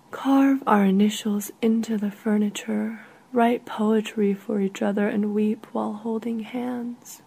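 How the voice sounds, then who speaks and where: A woman reading a literary short story in a soft, measured way, with a husky, breathy voice. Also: mid-range voice.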